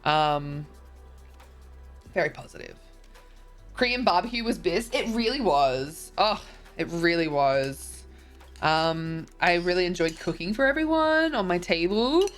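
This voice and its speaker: A woman's voice: on the low side, expressive, a little slow, long pauses, soft background music. A tired, congested woman, chatting casually as a streamer and reading viewer messages, rambling with lots of ums and likes.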